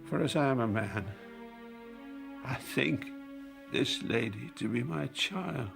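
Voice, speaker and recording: An aged king in a classical audio drama, his voice heavily cracked and gravelly. He speaks slowly and haltingly, frail and bewildered, full of remorse, over faint music. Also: medium pitch, animated, full character voice. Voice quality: gravelly, creaky.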